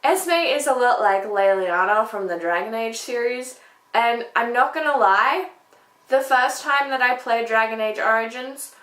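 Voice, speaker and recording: A woman's voice: on the high side, unhurried, a bit bright. A woman book vlogger chatting casually to camera, emphatic, often repeating words to make her point. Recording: echoey room.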